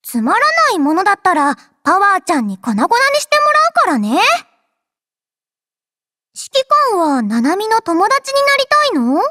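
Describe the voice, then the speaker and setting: A woman's voice: very high voice, wildly swooping pitch, moderate pace, bright, forceful tone, dry recording, clean studio sound, full character voice. A woman voicing a cheerful, childlike android girl in an anime game, playful and boastful in manner. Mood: playful, earnest. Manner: playful, childlike, refers to herself by name. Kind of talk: anime game character voice lines. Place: dry studio.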